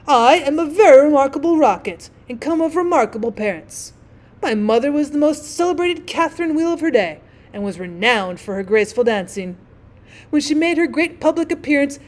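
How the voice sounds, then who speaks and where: A woman's voice: high-pitched, voice pushed up. A woman voicing a vain, self-obsessed male firework rocket in a storybook reading, with haughty, indignant, self-important delivery.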